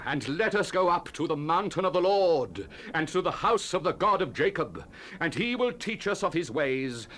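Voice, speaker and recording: A man's voice: on the high side, a bit bright. A man giving a solemn, declamatory dramatized scripture reading as a Bible narrator, in the manner of a 1970s audio Bible. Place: studio recording. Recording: noisy 1970s cassette tape transfer.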